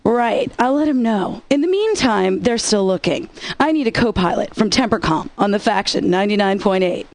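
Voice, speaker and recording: A woman's voice: medium pitch, animated, moderate pace, hardly any pauses, darkish tone, no echo, lightly acted. An actress playing a wry, upbeat alternative-rock radio DJ woman in a video game, introducing songs with sarcastic patter. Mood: dry, upbeat.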